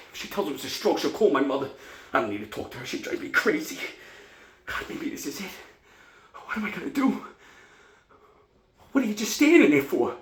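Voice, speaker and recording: A man's voice: extremely high pitch, fairly bright tone, pitched above the performer's own voice, full character voice. A man performing a comic stage monologue as a neurotic, panicky hypochondriac who gasps and exclaims as if dying. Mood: frantic. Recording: roomy, echoing solo room recording.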